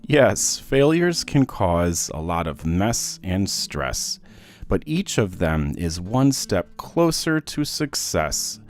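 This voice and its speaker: A man's voice: deep voice, slow, exaggerated voice. A man voicing a patient, encouraging father who reassures and teaches his young son in a children's storybook. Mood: instructive. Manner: reassuring, instructive, animated.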